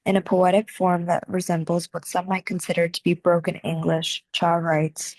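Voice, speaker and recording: A young American woman reading her own reflective video essay in a measured, soft-spoken way, with deliberate pauses. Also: fairly low pitch, little pitch movement, dry recording. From United States. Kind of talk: scripted reflective narration.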